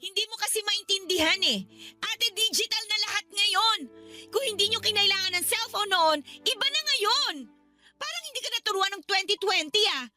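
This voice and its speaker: A woman's voice: extremely high pitch, moderate pace, bright, forceful tone, soft background music, heavily acted. A woman playing an argumentative, aggrieved teenage younger sister with a Filipino accent in a Tagalog radio drama. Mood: indignant. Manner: whiny, argumentative.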